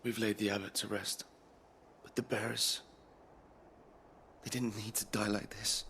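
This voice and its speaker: Grim soldier in a game, gravelly, flat steady pitch, mournful. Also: male voice, a little slow, slight background noise. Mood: sombre. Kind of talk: dramatic video game cutscene acting.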